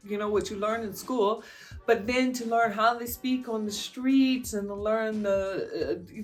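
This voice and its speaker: A fairly high-voiced American woman in her fifties talks through viewer questions in a loose, meandering way. She leans on fillers as she goes. Soft music plays faintly underneath. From United States. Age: 50s. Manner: chatty and meandering, with frequent fillers. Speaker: vlogger answering viewer questions. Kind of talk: casual Q&A monologue.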